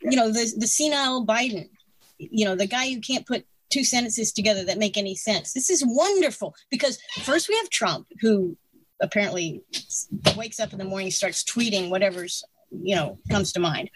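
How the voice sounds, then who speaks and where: This is a woman in her sixties talking politics in a relaxed conversation. She is opinionated and animated, and she laughs between points. Her voice sits in the middle range for a woman and sounds close and dry. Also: mid-range voice, normal speed, slightly rough, no echo, poor audio. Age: 60s.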